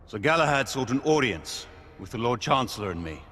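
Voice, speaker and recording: A man playing a loyal knight commander in a video game, speaking formally, with measured diplomacy. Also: medium pitch, very animated, bright, forceful tone. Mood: measured, regretful. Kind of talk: period drama game acting. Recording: reverberant hall with background ambience noise.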